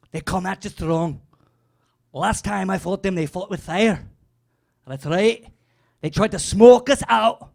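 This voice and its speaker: A man performing rowdy medieval-themed stand-up in a put-on Scottish accent, shouting a rally speech at a crowd. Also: sits high, creaky. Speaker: comedy performer.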